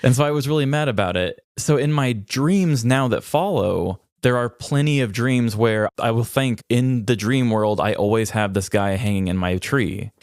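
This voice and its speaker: A man's voice: mid-range voice, normal speed, few pauses, no room sound, clean studio sound. A man co-hosting a podcast, telling personal stories in a casual, conversational way and acting out bits of dialogue. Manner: conversational, anecdotal, quotes people in dialogue. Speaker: podcast co-host. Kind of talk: casual storytelling chat.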